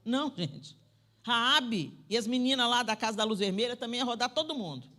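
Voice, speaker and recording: A woman preaching a sermon in a church, talking to the audience directly and swinging between loud, emphatic declarations and soft asides. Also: a little high, expressive, a little fast. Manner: conversational, addresses the audience directly. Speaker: preacher at a women's conference. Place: church sanctuary.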